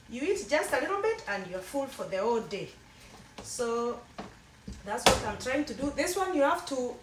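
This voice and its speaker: A woman's voice: sits high, fairly bright tone, a little hiss or hum. A woman with a Kenyan accent, chatting casually and rambling a little while she cooks in her home kitchen. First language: Kenyan mother tongue. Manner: chatty, rambling, with frequent restarts. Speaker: cooking vlogger. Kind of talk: casual cooking demonstration. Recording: echoey room, mic at a distance.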